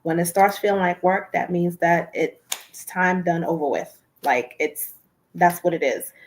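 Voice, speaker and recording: A woman's voice: lowish voice, a little slow. A woman hosting a casual livestream, with a nasal, congested-sounding voice, talking in an earnest, encouraging way and often repeating herself. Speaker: livestream host.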